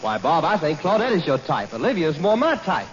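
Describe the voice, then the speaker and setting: A man's voice: a little high, sing-song, a little fast. A man voicing a timid, naive young sidekick who whines, in a 1940s radio comedy. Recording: dull old radio, loud hiss.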